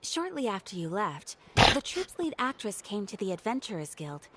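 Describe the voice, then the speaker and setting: A woman voicing a polite, businesslike young guild receptionist in a video game, with a measured manner. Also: mid-range voice, sing-song, a bit bright.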